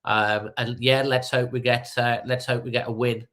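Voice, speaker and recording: A man's voice: medium pitch, flat delivery, normal speed, fairly bright tone. A middle-aged man with a stuffy nose from a cold, hosting a casual fan-channel live update with many ums and restarts.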